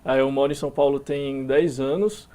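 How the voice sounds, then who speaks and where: A young man from Minas Gerais, a musician being interviewed, talking in a relaxed way with many fillers and restarts. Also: mid-range voice, normal speed. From Minas Gerais, Brazil. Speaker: musician guest. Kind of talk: casual interview talk.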